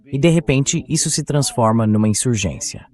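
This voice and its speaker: A man's voice: mid-range voice, expressive, moderate pace, a little mellow. A man with a Brazilian accent and a mid-range voice delivers opinionated political commentary. He speaks as a show host, colloquial and blunt, with a sarcastic edge. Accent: Brazilian Portuguese.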